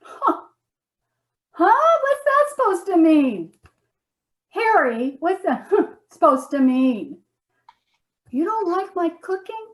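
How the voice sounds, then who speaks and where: Comedy sketch, actress as self-righteous, exasperated wife. High voice with big swoops, nagging and theatrical. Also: female voice, sing-song, takes it slowly, long pauses, heavily acted. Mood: indignant. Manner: dramatic, exasperated, repeats herself.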